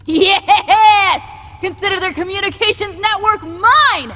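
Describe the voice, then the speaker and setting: A woman's voice: very high voice, slow pace, sharp tone, in a large hall, exaggerated voice. A woman voicing a spirited young female operative in a military operation for an anime-style video game. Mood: bold, eager.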